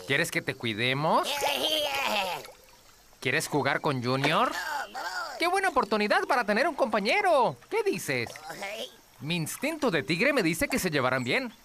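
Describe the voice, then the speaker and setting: An actor voicing a proud, fatherly penguin who thinks he is a tiger, encouraging and commanding in an animated cartoon. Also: medium pitch, measured pace, pauses often, very noisy. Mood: friendly, caring. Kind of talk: animated cartoon dub acting. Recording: loud music behind the voice. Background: loud music.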